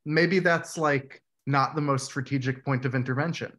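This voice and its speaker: A man in his thirties leading an online workshop on a video call, explaining points in a measured way while his voice goes hoarse. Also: mid-range voice, fairly even intonation, fairly bright tone. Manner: measured, explanatory, says "right?" often. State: losing his voice, clearing throat. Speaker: workshop facilitator. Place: room at home, indoors.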